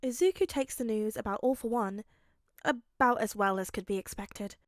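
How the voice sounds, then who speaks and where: A woman reading fan fiction aloud as expressive, dramatic narration with halting, emotive pauses. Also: on the high side, dry recording, clean studio sound. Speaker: fan fiction audiobook reader.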